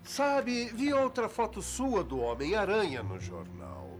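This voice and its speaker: A mocking, theatrical Brazilian cartoon antagonist. His high voice swoops wildly, echoing over music. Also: very animated, unhurried. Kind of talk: cartoon dub acting. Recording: echoey cartoon dub with music.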